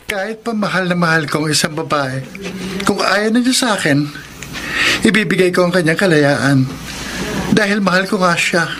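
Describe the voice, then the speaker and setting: A man playing an earnest, selfless friend giving counsel in a Filipino radio drama, with measured, philosophical delivery. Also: high voice, background noise, close to an everyday voice. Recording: music under the voice. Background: music.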